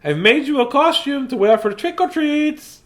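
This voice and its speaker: A man's voice: very high-pitched, animated, normal speed, fairly bright tone, voice pushed up. A man voicing a doting, cheerful mother dog in a cooing, sing-song manner for a children's storybook.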